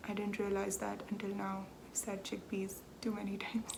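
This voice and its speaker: A young Indian woman hosting a podcast in relaxed chat, explaining patiently and often laughing at herself. Her pitch stays fairly even and level, with a faint hum of ambience behind her. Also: normal speed, gravelly. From South India, Andhra Pradesh. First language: Telugu. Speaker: podcast host. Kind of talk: casual chat.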